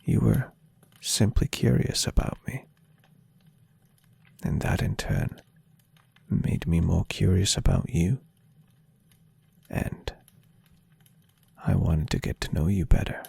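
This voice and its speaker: A man voicing a gentle, adoring, centuries-old vampire boyfriend in a soft-spoken, tender and unhurried ASMR roleplay. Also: deep voice, lively intonation, exaggerated voice. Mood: fond, tender. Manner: tender, breathy, unhurried, softly teasing.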